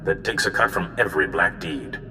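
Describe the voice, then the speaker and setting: A man playing a solemn, worried Jedi mentor in a video game, speaking in measured explanations. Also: low voice, animated, pushed and bright, full character voice. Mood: grave. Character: grave, worried male Jedi mentor giving orders. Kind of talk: cinematic video game dialogue. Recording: hall reverb, faint background noise.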